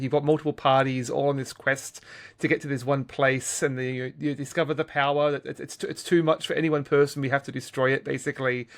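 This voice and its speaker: A man hosting a podcast in casual chat, often hesitating and rephrasing as he goes. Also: medium pitch. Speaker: podcast host.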